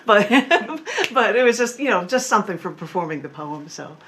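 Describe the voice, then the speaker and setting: A woman's voice: medium pitch, sing-song, moderate pace, a bit bright, a little hiss or hum. A woman poet and performance artist talking and reading her work in a remote interview over a video call. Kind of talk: casual interview talk with poem readings.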